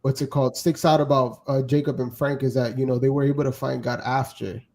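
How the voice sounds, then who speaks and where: A man's voice: mid-range voice, flat delivery, brisk, darkish tone. A man hosts a casual podcast chat in a mid-range voice. He asks wandering questions padded with fillers. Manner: rambling, filler-heavy questions. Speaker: podcast host.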